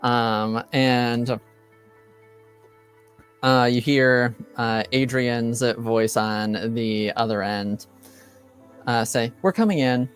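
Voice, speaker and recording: A man runs a tabletop game as game master, speaking slowly in a smooth, clear voice. He narrates tentatively, pausing to find each phrase, with faint music underneath. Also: medium pitch, level tone. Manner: halting, tentative, feeling for words.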